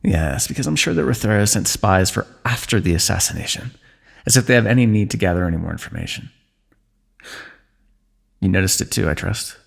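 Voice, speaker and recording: A dry, teasing fantasy king, played by a man in an ASMR roleplay, wounded but unbothered. His voice sits low, with a gravelly, husky grain. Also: brisk, pauses often, heavily acted. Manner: dry, teasing, calm understatement. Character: wry wounded male ruler, teasing old comrade.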